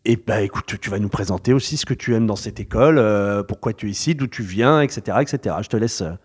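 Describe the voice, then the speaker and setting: A man's voice: lowish voice, fast, slightly rough. A man interviewing students at a school, talking loosely and hesitantly with many fillers and restarts. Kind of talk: informal interview.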